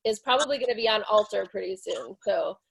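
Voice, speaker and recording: This is a chatty woman speaking over a video call, talking about herself with self-deprecating humor. Her pitch sits in the middle. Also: expressive, fast talker, vocal fry, husky, poor audio. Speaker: actor and children's acting teacher. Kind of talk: casual video-call chat.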